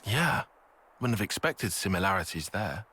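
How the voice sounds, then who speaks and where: A man's voice: low voice, sing-song, moderate pace, pushed and bright, slightly rough, full character voice. A man playing a reserved, cautious young soldier in a video game, serious and a little hesitant. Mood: reserved, doubtful.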